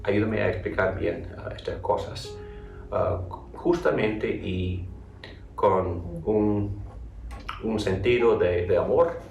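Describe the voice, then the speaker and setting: A man from North Carolina teaching a theology class and opening with a prayer, speaking haltingly with many restarts and repeated words. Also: on the low side, vocal fry, a little mellow, lo-fi sound, a little hiss or hum. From North Carolina, USA. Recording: echoey room, voice from far off.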